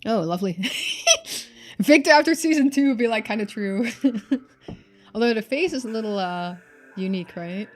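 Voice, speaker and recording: A woman streamer giving chatty game commentary and expressive narration. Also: on the high side, normal speed, pauses often. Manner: casual, chatty. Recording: music behind the voice. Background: music.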